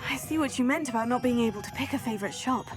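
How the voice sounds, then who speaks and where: High-voiced plucky young witch played by an actress. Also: rapid-fire, music in the background, lightly acted. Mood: composed, polite. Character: plucky, polite teenage witch student, female. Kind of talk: video game voice acting.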